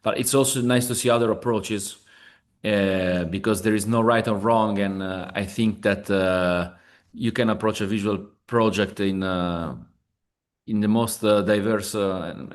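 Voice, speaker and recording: A man speaks over a video call as moderator of an online class, with a fairly low voice. He circles through fillers and restarts as he sums up a student's talk. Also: fairly even intonation, normal speed. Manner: warm-up fillers, rambling. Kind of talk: giving feedback in an online class.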